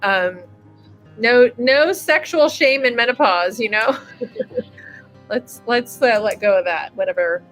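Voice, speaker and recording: A woman's voice: fairly high pitch, slow pace, pauses often, fairly bright tone, faint music behind, low-quality recording. A woman hosting a webinar in casual chat over a video call, bubbly and laughing often. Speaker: webinar host.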